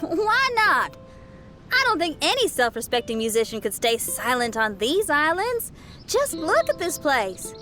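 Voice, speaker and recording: An upbeat young rocker girl in a video game. Her voice is high and very animated, with a folksy, easygoing cheer. Faint music sits behind her. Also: unhurried, full character voice. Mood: eager, upbeat. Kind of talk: English video game voice acting.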